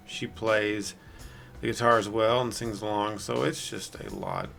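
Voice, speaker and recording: A man with a raspy, hoarse voice giving rambling, self-deprecating casual commentary as a gameplay video host. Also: lowish voice, wildly swooping pitch, unhurried, a bit bright, faint music behind. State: hoarse from singing the night before.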